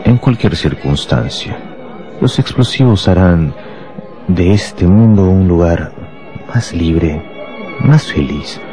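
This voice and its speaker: An actor playing a smug, contemptuous anarchist bomb-maker in a radio drama, speaking deliberately. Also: male voice, sits low, lively intonation. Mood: smug, grandiose. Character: small shabby anarchist bomb-maker, fanatical, contemptuous, boastful. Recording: loud music behind the voice.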